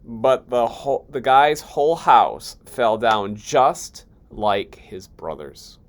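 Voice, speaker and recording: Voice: medium pitch, lively intonation, slow, voice pushed down. A person voicing a sly, self-excusing storybook wolf with a folksy, mock-innocent, theatrical way of telling. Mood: mock-innocent, confiding.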